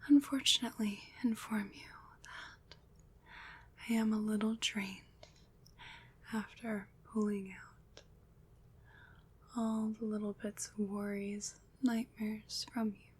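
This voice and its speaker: A woman playing a gentle, consoling witch in an ASMR roleplay, whispering breathily in a slow, soothing, deliberate way. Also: mid-range voice, little pitch movement, husky. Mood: soothing, weary.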